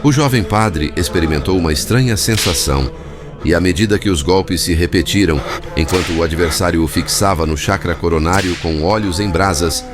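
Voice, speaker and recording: A man reading scripted audiobook narration in a formal, measured way. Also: low voice. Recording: music bed behind narration.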